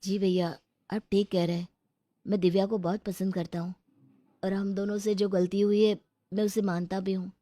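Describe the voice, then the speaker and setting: A woman's voice: mid-range voice, level tone, normal speed, pauses often, dark tone, no room sound, clean studio sound, close to an everyday voice. A woman voicing a sincere, respectful young man in a storybook drama. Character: young adult boyfriend, sincere and responsible.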